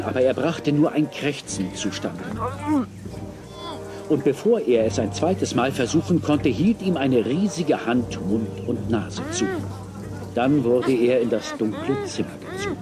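Storybook narrator, middling pitch. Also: male voice. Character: storyteller of a pirate adventure. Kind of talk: scripted storytelling for a children's audio play.

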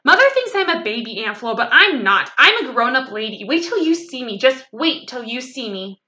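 A woman voicing an excitable, bossy little girl in a children's storybook, gushing and emphatic with childish grammar. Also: on the high side, unhurried. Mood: boastful excitement. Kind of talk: children's storybook read-aloud.

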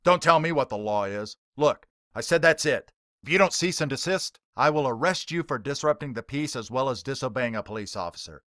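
A man's voice: medium pitch, animated, a little fast, a bit bright, no echo, clean studio sound, close to an everyday voice. A man reading a story voicing a stern police officer who gives curt orders. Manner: curt, authoritative.

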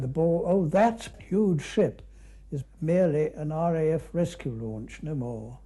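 A man's voice: a little high, warm tone, slightly rough. An older man with a British accent reminiscing in an unscripted, conversational film commentary, with clipped musing asides. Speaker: canoeist recalling an expedition.

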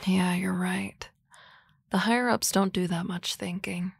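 A woman playing a husky, teasing office boss. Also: fairly low pitch, fairly even intonation, measured pace, no echo, exaggerated voice. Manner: dry teasing banter, soft whispery asides. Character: teasing office-lady boss, sardonic, lonely single woman. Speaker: ASMR roleplay voice actress. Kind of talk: ASMR roleplay.

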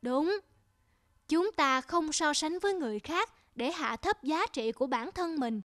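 A woman voicing a wise, patient old male monk master in a cartoon, with a measured, instructive manner. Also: high voice, lively intonation, a bit bright. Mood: gently teaching. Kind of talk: cartoon voice acting. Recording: music under the voice.

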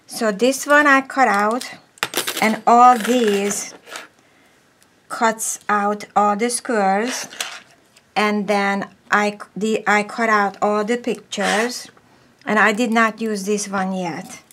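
Slow-talking woman explaining a craft. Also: medium pitch, steady tone, fairly bright tone, slight background noise. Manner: slow, step-by-step, drops to a whisper while working. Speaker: papercraft tutorial host. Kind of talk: casual crafting tutorial. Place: craft table indoors, overhead camera.

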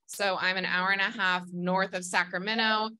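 A woman's voice: mid-range voice, fairly even intonation, moderate pace, pushed and bright, clear, resonant tone, poor audio. A young woman from Northern California introducing herself as a family farmer on a conference panel, friendly and explanatory with frequent ums. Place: conference room with audience.

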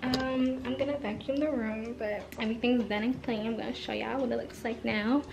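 A teenage girl chats straight to her viewers while tidying her room, now and then sinking into a whisper. Her voice sits a little high, recorded on a handheld camera held close. A faint hiss runs under it. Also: fairly even intonation, a little fast, creaky. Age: teens. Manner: chatty, talks straight to viewers, often drops to a whisper. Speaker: vlogger. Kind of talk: casual day-in-the-life vlog. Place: bedroom and kitchen at home, indoors. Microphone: handheld camera close to face.

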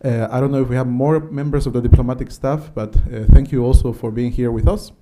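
A man in his thirties from Guatemala, a native Spanish speaker with a Guatemalan accent, giving a conference lecture in a conversational, often pausing way into a handheld microphone in an auditorium. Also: mid-range voice, steady tone, background noise. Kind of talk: public lecture.

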